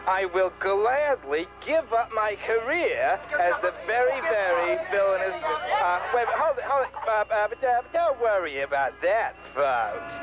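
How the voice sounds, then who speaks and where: An actor playing a blustering, boastful vandal villain giving a stumbling interview on a children's show. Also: male voice, high-pitched, rough recording. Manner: drawling, stumbling boastful speech.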